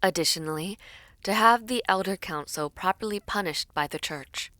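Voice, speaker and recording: A woman playing a composed, loyal young princess in an audio drama, speaking in a measured, formal and courtly way. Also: mid-range voice, bright, forceful tone, dry recording, close to an everyday voice. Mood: formal, diplomatic. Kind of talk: dramatic audio roleplay acting.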